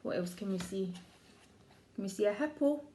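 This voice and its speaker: A woman's voice: medium pitch, lively intonation, moderate pace, dark tone, slight background noise, low-quality recording. A woman reading a children's storybook aloud like an early years teacher, expressively, putting questions to her young listeners.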